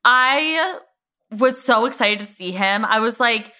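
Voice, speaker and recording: A woman's voice: a little high, lively intonation, slow, sharp tone. A woman co-hosting a podcast, chatting casually and effusively about television in an informal, emphatic way. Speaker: podcast co-host. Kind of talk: casual TV recap chat.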